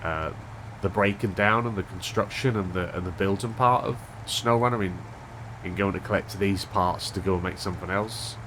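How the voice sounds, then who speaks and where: A man hosting a gaming channel, chatting casually over gameplay with a stuffed-up, unwell voice, thinking aloud with a monotone delivery. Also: sits low, moderate pace, background noise. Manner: rambling, thinking aloud with restarts.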